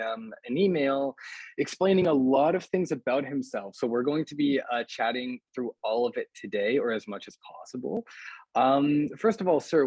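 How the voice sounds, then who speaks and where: Husky-voiced chatty host, a man. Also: medium pitch, darkish tone, no room sound, low-quality recording. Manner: friendly, chatty, many ums. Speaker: podcast host, English teacher. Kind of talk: casual interview.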